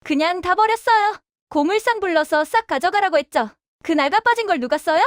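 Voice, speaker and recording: A woman's voice: very high voice, lively intonation, a little fast, no echo, clean studio sound. A woman playing a brash, chirpy, self-satisfied daughter-in-law in a family audio drama, breezy, tactless and dismissive. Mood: dismissive, annoyed.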